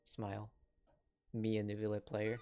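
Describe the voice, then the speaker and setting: Streamer: medium pitch, informal, reactive live game commentary, clear music bed. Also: completely flat delivery, normal speed, dark tone. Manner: informal, reactive, speaks in short asides. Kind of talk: casual livestream game commentary.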